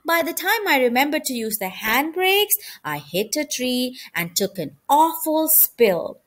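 A woman's voice: high voice, sing-song, unhurried, a bit bright, pitched above the performer's own voice, full character voice. A woman voicing a friendly, candid young boy in a children's storybook, eager to be older. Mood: confiding. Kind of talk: children's storybook character reading.

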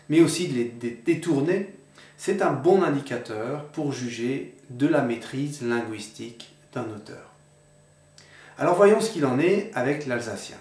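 Measured man lecturing in a roomy space. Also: mid-range voice, darkish tone. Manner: measured, addresses viewer informally, reads out paired words. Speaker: video lecturer on the dialect. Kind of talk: didactic explainer to camera. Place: indoor room with books on a table. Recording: roomy echo.

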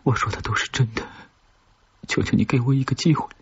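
A man voicing an obsessive, lovesick woman who pleads intensely in a breathy, whispery voice, in an audiobook. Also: medium pitch, a little fast, dull audio, voice pushed up. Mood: desperate, pleading. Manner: imploring, intense.

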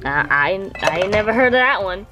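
A woman's voice: medium pitch, animated, normal speed, music in the background. A young woman filming a casual vlog, talking in a chatty, slangy way to viewers and her partner while walking through a hotel room with a handheld camera. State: voice raspy from just waking up. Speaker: vlogger.

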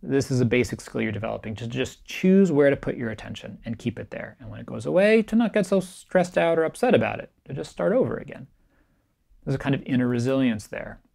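Calm gravelly-voiced man giving a reflective talk. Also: medium pitch, fairly quick. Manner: calm, reflective, gently emphatic. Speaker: meditation teacher giving a keynote. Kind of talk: recorded keynote talk. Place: small bright room with a plant, indoors. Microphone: camera framed at chest height, facing the speaker.

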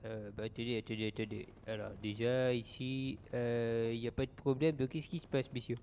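Casting: mid-pitched man, improvised car-dealer roleplay, gamer voice chat with light background noise. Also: fairly even intonation, moderate pace, dull audio. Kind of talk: improvised online game roleplay.

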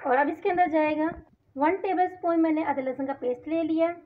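A woman's voice: high voice, fairly quick. A woman with a Pakistani Urdu accent, hosting a cooking channel, listing recipe ingredients one by one. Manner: lists amounts one by one. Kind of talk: step-by-step recipe voice-over. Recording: dull lo-fi with faint hiss.

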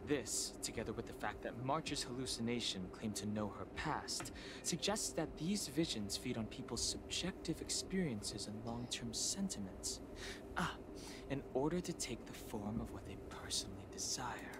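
An actor playing a composed, scholarly young space adventurer in a video game, speaking in a measured, analytical, serious way. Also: male voice, medium pitch, animated, noisy background, close to an everyday voice. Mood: analytical, calm.

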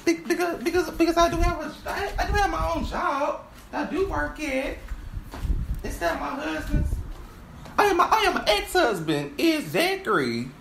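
A woman's voice: high-pitched, sing-song, normal speed, slight background noise. A woman performing a sassy, boastful divorced wife in an improvised stage monologue, stammering and repeating words as the character rambles. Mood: rambling, insistent. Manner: stammering, repeats words, sighs and laughs often.

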